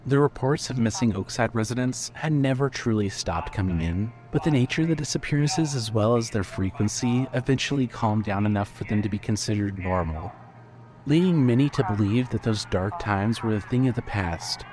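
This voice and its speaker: A man narrating scripted fiction as a storyteller, in a measured manner. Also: on the low side.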